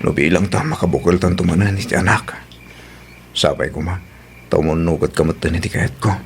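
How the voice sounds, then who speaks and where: A man's voice: deep voice, brisk, gravelly, a little hiss or hum. A Filipino man acting in an audio drama as a tender, apologetic husband who longs for a child.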